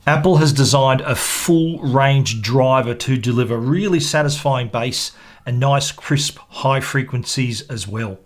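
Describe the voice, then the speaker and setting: A man presenting a product review in a measured, explanatory way. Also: mid-range voice. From Australia. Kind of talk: product review narration to camera.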